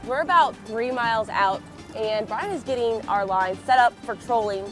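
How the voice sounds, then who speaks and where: Young female boat vlogger, cheerful, a touch nasal, higher voice, music bed behind. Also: normal speed. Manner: upbeat, excited when a fish hits. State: slightly nasal from a head cold. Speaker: fishing channel host. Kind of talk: casual vlog narration on a boat. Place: outdoors on a fishing boat offshore.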